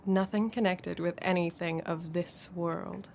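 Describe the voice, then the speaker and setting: A woman's voice: fairly low pitch, steady tone, gravelly, a little mellow, soft background music. Guarded, mysterious woman's voice. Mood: cryptic. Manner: measured, cryptic, theatrical. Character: mysterious, guarded woman who travels the dreamlands. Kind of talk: radio drama acting.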